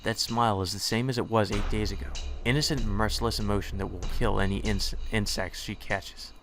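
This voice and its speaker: Low-voiced man reading a visual novel. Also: brisk, noisy background. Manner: steady first-person reading with small stumbles. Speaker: let's play narrator.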